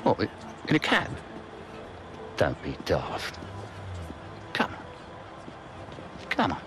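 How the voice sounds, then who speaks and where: Middle-aged dad, deep lively voice, wry, game score under it. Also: male voice, slow, music in the background, heavily acted. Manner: wry, coaxing. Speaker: football scout, estranged father. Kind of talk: video game cutscene acting.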